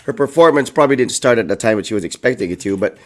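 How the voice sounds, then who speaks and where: A man in his thirties, still a bit hoarse, co-hosting a casual talk show and rambling with lots of "like" and "you know". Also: medium pitch, lively intonation, rapid-fire. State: hoarse, recovering from a lost voice. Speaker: co-host. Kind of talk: casual chat.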